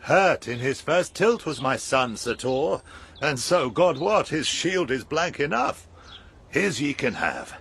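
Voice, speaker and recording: A hearty old lord, husky and slow, with big swoops of pitch. He declaims verse like a proud, teasing father in a radio drama. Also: sing-song, a bit bright, exaggerated voice.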